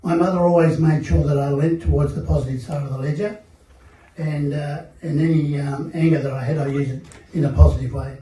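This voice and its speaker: Older Australian man, dark warm tone, slightly raised pitch, distant mic in an echoey hall; measured panel testimony. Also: steady tone. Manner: measured, reflective, many fillers. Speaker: panel guest. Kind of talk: personal testimony at a seminar panel. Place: seminar hall.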